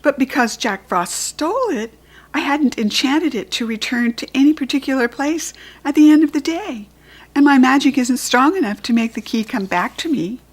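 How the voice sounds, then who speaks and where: A woman with an American accent voicing a gentle, determined young fairy girl in a children's storybook reading. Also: high voice, expressive, moderate pace, voice pushed up. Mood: earnest.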